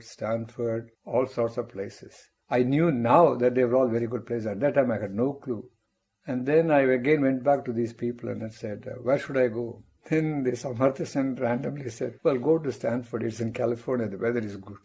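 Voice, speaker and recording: Older man, mellow and husky. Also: mid-range voice. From Tamil Nadu, India. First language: Tamil. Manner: measured, reflective recounting with wry asides. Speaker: guest professor. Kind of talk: personal storytelling interview.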